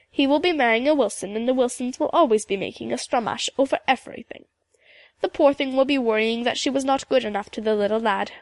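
A woman's voice: high-pitched, gravelly, dry recording. A woman voicing a composed, proud, sorrowful elderly woman in an audiobook, with lilting old-country phrasing and a habit of tagging on "oh yes". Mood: composed, knowing. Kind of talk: audiobook character dialogue.

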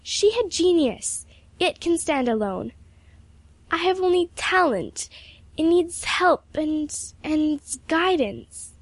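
A sensitive young woman, voiced by a female narrator, high in pitch and full of earnest feeling. She sounds like a storybook heroine pleading her case, in a dry, close recording. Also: big pitch swings, normal speed, no room sound. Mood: anxious.

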